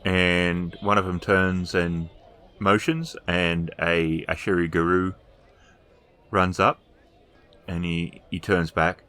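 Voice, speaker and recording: A man narrating a tabletop role-playing game as storyteller, improvising descriptions with hesitant pauses. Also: deep voice, flat delivery, pushed and bright.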